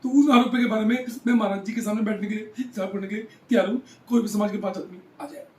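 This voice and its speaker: A man's voice: sits high, steady tone, quick pace, darkish tone, a little hiss or hum. A man speaks as a political interviewee. He drives his points home with emphatic repetition. The sound is roomy and echoing. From Haryana, India. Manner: emphatic, repeats phrases for rhetorical effect. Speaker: former local chairman being interviewed.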